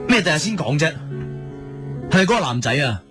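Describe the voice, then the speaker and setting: A frustrated young scientist husband in a radio drama, played by a man. His Hong Kong Cantonese is anguished and insecure, in a slightly high voice that swings widely in pitch, with music underneath. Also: very animated, a little fast. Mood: hurt, insistent. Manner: agitated, anguished.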